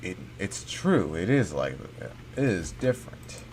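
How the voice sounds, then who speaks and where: A man improvising replies as he plays a video game, halting and repeating his phrases. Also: low voice, very animated, background noise. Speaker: game streamer. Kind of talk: improvised reactions talking along with a game. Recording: game music behind the voice.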